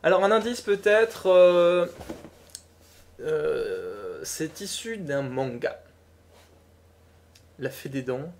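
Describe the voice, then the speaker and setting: A young woman co-hosting a gaming talk show live stream, teasing and animated as she runs a guessing game. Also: sits low, a little hiss or hum. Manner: teasing, animated, quick laughs. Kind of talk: playful livestream quiz chat.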